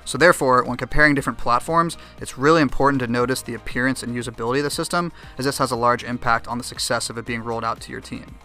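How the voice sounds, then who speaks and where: A young man delivering scripted promotional narration for software. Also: mid-range voice, fairly even intonation, very fast, hardly any pauses, soft background music. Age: young adult. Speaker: software product presenter.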